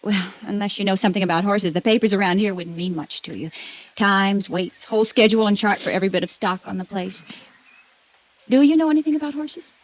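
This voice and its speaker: An actress playing a spoiled, pouting rich young woman in a 1950s radio drama, coy and teasing but quick to get defensive. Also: medium pitch, close to an everyday voice. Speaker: rich horse owner's daughter. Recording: dull dry vintage radio recording.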